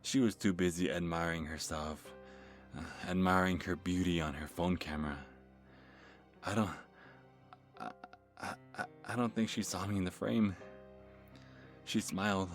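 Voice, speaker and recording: A man playing a nervous, creepy lovestruck stalker telling a first-person horror story in an ASMR manner, halting and stammering as he corrects himself. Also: low-pitched, steady tone. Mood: infatuated, dreamy. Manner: halting, stammering, breathy sighs and self-corrections. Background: music.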